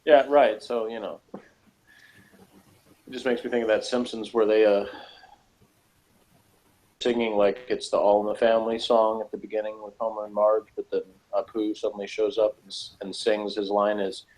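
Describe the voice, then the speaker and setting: A man co-hosting a casual podcast chat about a TV episode, animated and jokey, often laughing and acting out quotes. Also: lowish voice, moderate pace, long pauses, low-quality recording. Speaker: podcast co-host.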